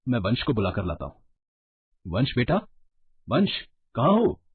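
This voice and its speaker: A man voicing a cautious, worried husband and father in a cartoon moral story, with a husky voice. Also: medium pitch, wildly swooping pitch, a little slow, heavily acted. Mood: concerned. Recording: muffled, narrow phone-like band.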